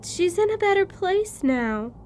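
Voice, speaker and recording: A woman's voice: very high voice, very animated, measured pace, a little mellow, a little hiss or hum, heavily acted. An actress playing a subdued, sorrowful woman in a horror audio drama. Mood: sad, hushed.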